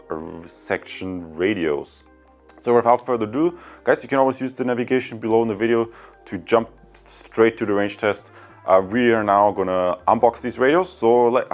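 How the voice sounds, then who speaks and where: A man with a fairly low voice hosts an unscripted product review. He speaks informally and straight to the viewers, in an easygoing vlog style. Faint music plays behind him. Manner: informal, addresses viewers as guys.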